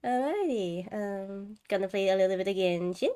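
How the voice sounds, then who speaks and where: A woman's voice: medium pitch, expressive, moderate pace, warm tone, clean tone. A woman hosting a game livestream, chatting casually and rambling as she thinks aloud. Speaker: game streamer, host.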